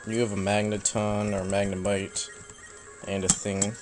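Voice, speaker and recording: A young man casually commenting while he plays a video game, rambling and self-mocking, his voice slightly raspy from a cold. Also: fairly low pitch, completely flat delivery, measured pace, over music. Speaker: let's play host.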